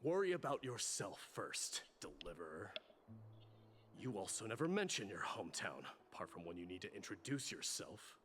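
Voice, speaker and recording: An actor playing a proud, gruff, scornful young warrior in a video game, speaking in a terse, haughty way. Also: male voice, lowish voice, sing-song, moderate pace, a bit bright, exaggerated voice. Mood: curt.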